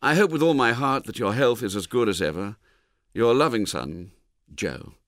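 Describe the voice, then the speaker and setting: A man's voice: medium pitch, animated, moderate pace, a bit bright, no echo, studio quality, natural voice. A man voicing a courteous, affectionate middle-aged son reading out his formal letter in an audiobook. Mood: sincere, courteous. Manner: amiable, courteous. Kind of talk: audiobook character voice.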